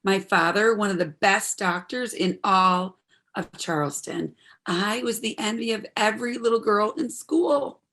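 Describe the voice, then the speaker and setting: A woman's voice: medium pitch, unhurried, a bit bright. Woman acting an earnest, troubled young daughter. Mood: earnest, pleading. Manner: pleading, heartfelt. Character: earnest, troubled 26-year-old daughter, medical student. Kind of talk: stage play dialogue, audio performance.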